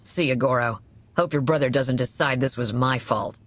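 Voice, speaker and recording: Low-voiced, wry cantina keeper. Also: female voice, normal speed, no room sound. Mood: resigned. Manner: sardonic, nonchalant. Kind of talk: video game character acting.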